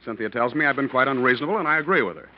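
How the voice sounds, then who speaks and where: An actor playing a smooth, scheming husband in an old-time radio mystery. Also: male voice, mid-range voice, expressive, racing, natural voice. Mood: smooth, genial. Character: smooth, scheming husband, outwardly polite, inwardly nervy. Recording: muffled old radio recording, faint noise.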